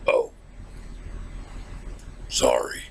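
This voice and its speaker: A man voicing a meek, eager would-be villain from the basement in a comedic puppet review, with a gravelly voice. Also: drawn-out words, a little hiss or hum. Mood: sheepish.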